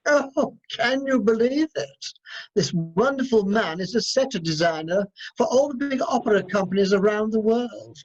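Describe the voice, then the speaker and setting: A man's voice: high voice, animated, normal speed. A man playing a camp, gushing pantomime enthusiast in a comic radio play, effusive and theatrical. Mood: smitten. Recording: slightly dull video call audio.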